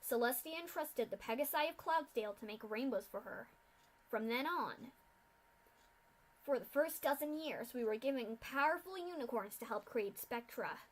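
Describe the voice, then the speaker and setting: A woman voicing a cold, masked female pegasus official who lectures loudly in a storybook reading. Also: on the high side, animated, normal speed, rough recording. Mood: lecturing. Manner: lecturing, loud.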